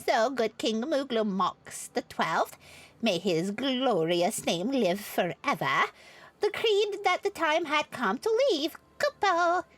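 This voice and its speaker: A woman's voice: a little high, sing-song, takes it slowly, fairly bright tone, faint music behind, voice pushed up, heavily acted. A woman voicing a small, earnest moogle creature from a fantasy game, theatrical and reverent as it tells its story. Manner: theatrical, gushing, exclaims a verbal tic. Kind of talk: character voice reading game dialogue.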